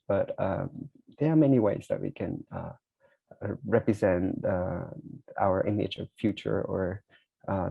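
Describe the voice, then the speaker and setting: A man's voice: low-pitched, vocal fry, dark tone, slightly rough, no room sound. A man answering as a filmmaker at a festival Q&A, hesitant and full of fillers. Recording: dull lo-fi audio.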